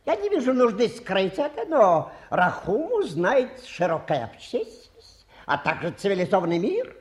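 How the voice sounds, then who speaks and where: A man's voice: high-pitched, wildly swooping pitch, normal speed. An actor playing a self-important, old-fashioned provincial old man, a touring showman, in a 1950s radio play. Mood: pompous, insistent. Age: older. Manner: pompous, grandiloquent.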